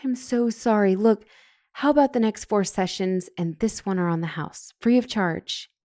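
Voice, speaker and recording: A woman acts out a brilliant young female couples therapist in a comedic audio roleplay. Her voice is mellow and closely, dryly recorded. Her professional composure slips easily into flustered rambling. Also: mid-range voice, normal speed, no echo, studio quality, lightly acted. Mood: apologetic.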